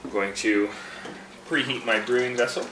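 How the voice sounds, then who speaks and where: A man working behind a tea bar casually explains how to brew a green tea, talking in a relaxed way with fillers and restarts. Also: fairly low pitch, normal speed, reverberant, background noise. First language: English. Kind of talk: casual instructional demonstration.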